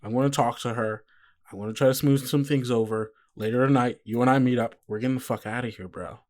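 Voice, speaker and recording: A man's voice: mid-range voice, fairly even intonation, fast, darkish tone, natural voice. A man playing a loyal, rebellious teenage boy in an improvised tabletop game, talking in hesitant, slangy bro talk. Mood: scheming, chummy. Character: laid-back teenage boy, a loyal, rebellious school buddy.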